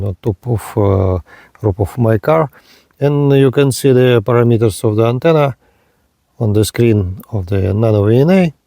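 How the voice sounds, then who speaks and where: A man narrating a hands-on product demonstration in halting, simple step-by-step phrases. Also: mid-range voice, mellow, no echo, clean studio sound. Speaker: product reviewer.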